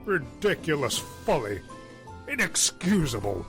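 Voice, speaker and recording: A man's voice: fairly high pitch, big pitch swings, a little slow, music in the background. Man as a stern, pompous wanderer giving orders. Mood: disdainful. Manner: pompous, clipped formal imperatives. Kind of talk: web audio drama acting.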